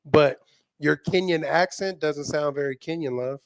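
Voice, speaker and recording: An American man co-hosting a call-in stream, relaxed and affable, calling guests brother and sis. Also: a little high, unhurried, dry recording. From United States. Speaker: livestream co-host. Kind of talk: casual call-in moderation.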